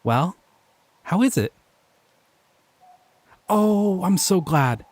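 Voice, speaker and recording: A man voicing a gentle, curious male slime creature in an audio roleplay, talking sweetly and bashfully. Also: sits high, lively intonation, drawn-out words, full character voice. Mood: tender.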